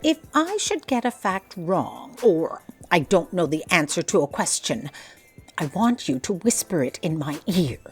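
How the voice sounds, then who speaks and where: A woman's voice: fairly low pitch, very animated, unhurried, slightly rough, soft background music, exaggerated voice. A woman playing a haughty, forgetful editor-in-chief in a comedic audio drama, speaking formally and imperiously. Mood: guarded. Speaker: voice actor.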